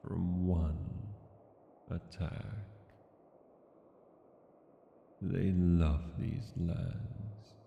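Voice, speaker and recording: A brooding old-world dragon king acted by a man speaks very low and extremely slowly, weighing each phrase with regret. His gravelly voice rings in a long cavernous reverb. It is a solemn, intimate fantasy audio roleplay monologue. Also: mellow, highs cut off, slight background noise, full character voice. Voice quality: deep, gravelly. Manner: slow, deliberate, solemn phrasing with long pauses.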